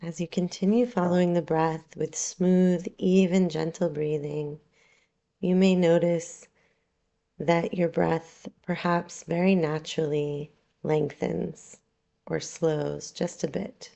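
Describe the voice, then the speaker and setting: A woman leading a guided relaxation meditation as a yoga nidra guide, calm, soothing and unhurried, with long pauses. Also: on the low side, vocal fry, highs cut off.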